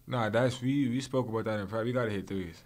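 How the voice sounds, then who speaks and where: A man's voice: on the low side, crowd noise. A young man, a basketball player, answering postgame questions in a casual, repetitive way at a desk mic in a press room. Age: young adult. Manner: casual, rapid, repetitive phrasing. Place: press room with logo backdrop. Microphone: desk mic on stand.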